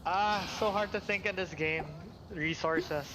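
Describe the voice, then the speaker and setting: A man's voice: high-pitched, measured pace, noisy background. A man hosting a game livestream, thinking aloud hesitantly in casual live commentary.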